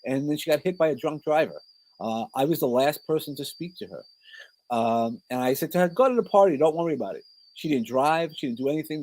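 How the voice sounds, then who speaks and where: A middle-aged man speaks in a New York accent at an average pitch. He is a coach being interviewed, informal and conversational. He often interrupts himself and circles back as he tells personal stories. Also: darkish tone, poor audio. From New York, Brooklyn. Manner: informal, rambling, self-interrupting. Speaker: podcast guest, coach and speaker.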